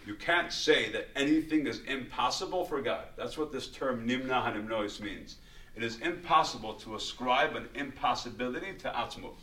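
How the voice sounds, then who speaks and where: A man's voice: mid-range voice, moderate pace, sharp tone, slightly rough, low-quality recording. A man teaching a religious study class in an informal way, often repeating words and restarting his sentences as he explains. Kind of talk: informal religious lecture with questions.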